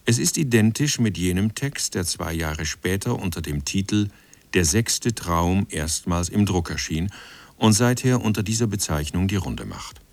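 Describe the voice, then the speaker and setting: A man reading scripted documentary narration in a sober, measured manner. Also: low-pitched, animated, no room sound.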